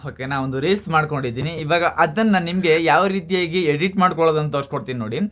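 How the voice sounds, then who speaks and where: A man's voice: on the high side, fast, fairly bright tone. A man hosting a casual how-to video, addressing viewers directly and often checking that they follow. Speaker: video tutorial host.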